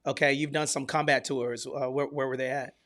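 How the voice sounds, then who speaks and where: A man's voice: medium pitch, flat delivery, a little fast, a bit bright. A man hosting a podcast, interviewing in an informal, jovial, bantering way. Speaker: podcast host.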